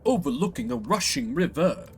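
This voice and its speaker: A man's voice: high-pitched, big pitch swings, moderate pace, gravelly, husky, full character voice. A man voicing a boastful, theatrical dragon slayer in a fantasy video game, pompous and florid in his storytelling. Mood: dramatic bragging. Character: boastful male dragon slayer, grandiose, theatrical, self-styled hero.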